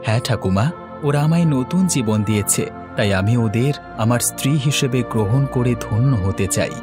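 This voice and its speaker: A man's voice: mid-range voice, normal speed, music in the background, natural voice. A man voicing a courteous young prince in a cartoon, speaking in an earnest, formal way. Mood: earnest, grateful.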